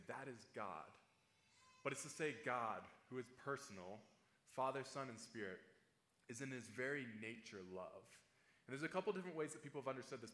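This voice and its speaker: A male pastor preaching a sermon in a church sanctuary. His pitch sits in a middle range and his delivery is measured and earnest. He slows down to stress key phrases, and the room gives the voice a roomy echo. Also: pushed and bright.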